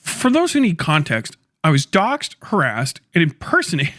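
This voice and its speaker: A man's voice: a little high, huge pitch swings, normal speed, fairly bright tone, no room sound, clean studio sound. A man talking in a video clip, partly reading aloud.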